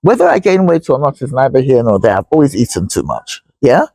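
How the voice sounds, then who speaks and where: A man hosting a casual wellness chat, candid and self-deprecating, with a hoarse voice and frequent throat clearing. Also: medium pitch, wildly swooping pitch, fairly quick, a little mellow. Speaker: host. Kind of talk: casual chat and viewer shout-outs. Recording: roomy echo, far from mic, faint noise.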